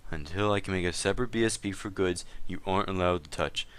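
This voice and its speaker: A man's voice: sits low, quick pace, a bit bright, smooth. A man doing a dramatic reading as a stern, stubborn town leader, matter-of-fact and lecturing in an online-game dispute. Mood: firm, lecturing. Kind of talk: dramatic reading of a gaming chat log.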